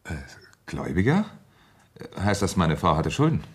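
A low-pitched, slightly rough-voiced man in a radio drama. He is a nervous, evasive widower who talks haltingly, with an undertone of bitterness. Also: sing-song, heavily acted. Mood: uneasy, stunned.

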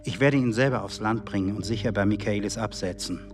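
An actor playing a middle-aged, terse, stolid shopkeeper husband who secretly works as an informer, in a radio drama. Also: male voice, lowish voice, brisk, distant mic, faint music behind, close to an everyday voice. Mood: stolid, guarded.

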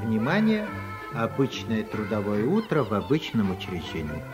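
A man's voice: fairly low pitch, wildly swooping pitch, moderate pace, over loud music. A man narrating a radio comedy with dry, ironic quips. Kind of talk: wry radio-play narration.

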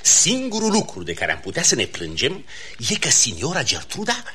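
Pompous count, wildly swooping voice. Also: male voice, a little high, huge pitch swings, bright, forceful tone, slight background noise, heavily acted. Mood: condescending, amused. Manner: pompous, placating, drawn-out emphatic phrasing. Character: haughty, evasive nobleman count, smooth-talking. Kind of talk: theatrical Romanian radio comedy acting.

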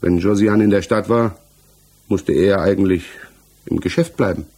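An actor in a 1960s German radio play, playing an accused married village mechanic who answers earnestly, evasively and haltingly. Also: male voice, low-pitched, dark tone, slightly rough. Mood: tense recounting. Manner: hesitant, halting, defensive.

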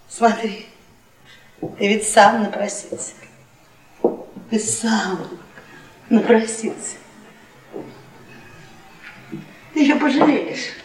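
An actress playing a drunken, domineering middle-aged wife in a Russian radio play, taunting and repeating herself. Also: female voice, fairly high pitch. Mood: low menace. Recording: echoey distant stage-like radio play.

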